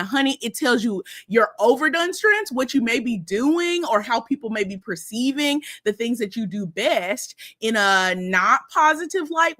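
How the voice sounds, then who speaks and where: Chatty woman, live-stream host, lively swooping delivery. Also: a little high. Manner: chatty and animated, addresses viewers as y'all and honey. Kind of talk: casual live-stream talk to viewers.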